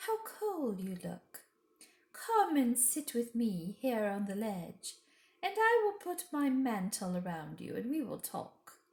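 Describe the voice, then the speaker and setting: A woman voicing a scheming, falsely sweet evil queen in a storybook reading, coaxing in a slow, regal manner. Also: a little high, big pitch swings, full character voice. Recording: roomy echo.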